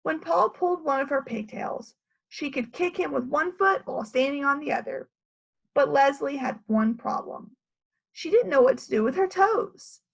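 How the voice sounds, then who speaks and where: A woman's voice: high-pitched, animated, moderate pace, gravelly, lo-fi sound. A woman reading a children's story aloud like a teacher, with questions to the listeners.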